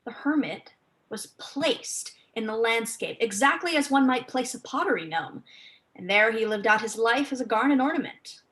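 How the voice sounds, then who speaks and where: Stage play: woman performing a scornful woman author, articulate, higher voice, impassioned lecturer, assertive. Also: expressive, normal speed, fairly bright tone. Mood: eager, dry. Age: young adult. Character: assertive, scornful woman author researching landscape garden history.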